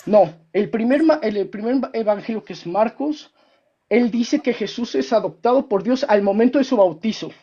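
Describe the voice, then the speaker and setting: A man's voice: high voice, normal speed, warm tone. A man with a Mexican accent argues in a heated debate, stacking repeated words and patronizing his opponent. His audio sounds somewhat dull and lo-fi. He calls himself a historian and keeps insisting on his point. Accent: Mexican Spanish.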